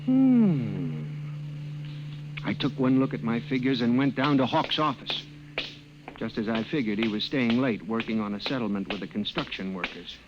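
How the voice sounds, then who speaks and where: An actor playing a timid, awkward, lovelorn statistician in a hesitant, intense 1950s radio drama style. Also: male voice, mid-range voice, sing-song, normal speed, noisy background, close to an everyday voice. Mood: earnest. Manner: hesitant, polite, intense when narrating. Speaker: protagonist and narrator. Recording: muffled vintage radio recording with music.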